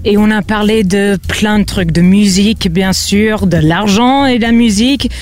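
A woman's voice: medium pitch, moderate pace, a little mellow. A woman whose first language is English, speaking French with an English accent as she opens her podcast in a bubbly way, slipping in English words. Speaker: podcast host. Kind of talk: podcast intro.

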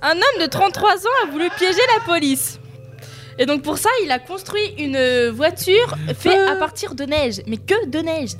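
Giggly high-voiced woman radio host. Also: lively intonation, moderate pace, faint music behind. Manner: giggly, teasing, storytelling. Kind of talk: joking evening radio chat. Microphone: studio microphone.

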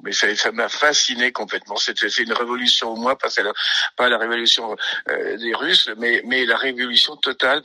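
An older man from Italy, a pianist, reminiscing in a radio interview, halting and searching for words with many fillers. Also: medium pitch, sharp tone, husky, no echo, low-quality recording.

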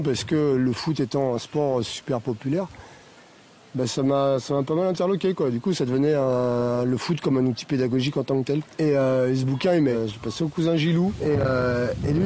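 A man's voice: mid-range voice, warm tone, a little hiss or hum. A man in his thirties or forties telling his story in a casual, rambling way full of fillers. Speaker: interviewee, amateur footballer. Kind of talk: casual recorded interview.

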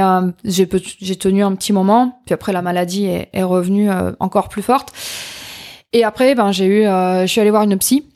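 A middle-aged woman speaks as an interview guest. Her voice sits at a medium pitch. She tells her story candidly, in informal and expressive conversational language. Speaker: podcast guest, coach.

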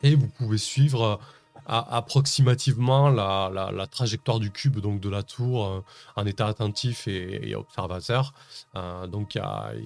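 A man acting as game master, improvising narration for a tabletop roleplaying game in a hesitant, halting way with repeated words. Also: on the low side, fairly even intonation, creaky, a little mellow. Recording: music playing under the voice.

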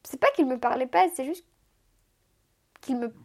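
A woman's voice: high voice, measured pace, gravelly, darkish tone. A woman in her twenties from Moldova, a native Romanian speaker, telling her life story casually as a podcast guest, chatty and self-mocking, restarting sentences often. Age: 20s.

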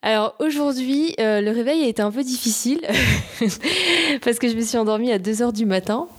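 A young woman from the south of France chatting in an interview, with many pauses and restarts. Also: fairly high pitch, animated. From Nice, southern France. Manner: chatty, lots of hesitations and restarts. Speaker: screenwriter and director. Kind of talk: casual interview talk.

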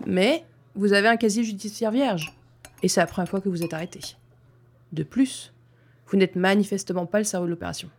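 A woman playing a husky, formal police inspector. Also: mid-range voice, warm tone, close to an everyday voice. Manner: formal, measured, procedural. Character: stern, composed female police inspector interrogating a suspect. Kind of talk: scripted audio drama dialogue.

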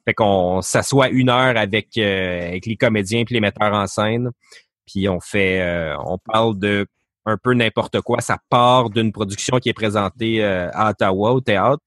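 Hesitant, low-voiced man. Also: moderate pace, a bit bright, no echo, studio quality. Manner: hesitant, many fillers and restarts. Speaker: podcast guest. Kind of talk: casual interview talk.

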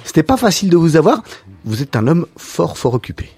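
A man's voice: a little high, big pitch swings, brisk, a little mellow, breathy edge, no echo. A man hosting an interview show, chatty and repeating words as he frames his questions. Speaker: radio show host.

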